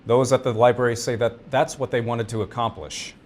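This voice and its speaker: A man's voice: mid-range voice, steady tone, brisk, darkish tone, slight background noise. A man delivering scripted news narration as an anchor and reporter.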